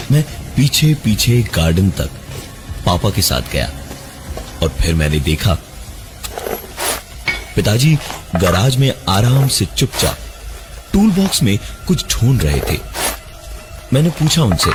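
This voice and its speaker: A man tells a first-person horror tale with a lot of drama. His slightly deep voice moves widely up and down, with deliberate pauses for suspense. Music plays clearly behind him. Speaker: horror story narrator. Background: prominent music.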